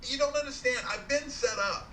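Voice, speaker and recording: A distant, echoey voice of a panicked patsy, acted by a man in a noir radio drama. He pleads in an extremely high, sharp tone. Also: moderate pace. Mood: panicked pleading. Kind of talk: film noir radio play acting. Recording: echoey, distant from mic, faint noise.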